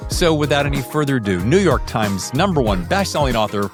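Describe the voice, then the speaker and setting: A man's voice: mid-range voice, big pitch swings, brisk, over music. A man hosting a podcast in a casual, chatty, jokey and informal way. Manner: jokey, informal, mildly profane. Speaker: podcast host. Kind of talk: casual, chatty show introduction and interview. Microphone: standalone microphone.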